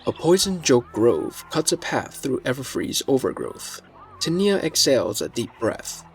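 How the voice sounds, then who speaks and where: Guy narrating a fantasy story from a script, mid pitched voice, little bit of music under him. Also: sing-song, a little slow, vocal fry. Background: faint music.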